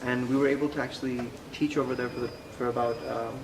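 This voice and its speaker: A young man raised in the United States, answering interview questions in a measured, conversational way with frequent fillers. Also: medium pitch, level tone. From Pakistan, raised in the United States. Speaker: interview guest, Quran instructor. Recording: echoey room with clear background noise.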